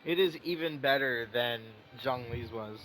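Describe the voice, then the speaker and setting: A man's voice: mid-range voice, unhurried, fairly bright tone, slight background noise, poor audio. A man giving casual game reaction commentary as a streamer, rambling, teasing and swearing freely.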